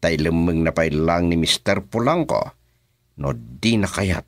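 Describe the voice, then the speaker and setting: A man's voice: low-pitched, expressive, a little slow, no room sound, studio quality. A man with a Filipino accent acting a scheming, commanding male boss in a radio drama. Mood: scheming, businesslike.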